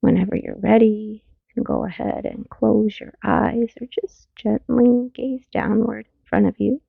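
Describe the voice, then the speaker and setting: Woman, meditation guide, soothing and gentle, strong gravelly creak, long pauses, phone-like narrow audio, very dry sound. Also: mid-range voice, fairly even intonation, dry recording. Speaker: mindfulness teacher. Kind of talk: guided meditation, body scan.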